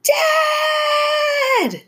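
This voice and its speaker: A woman's voice: very high voice, expressive, extremely slow, sharp tone, shouting, pitched above the performer's own voice, exaggerated voice. A woman voicing a frightened, anxious young girl in a children's storybook. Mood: screaming.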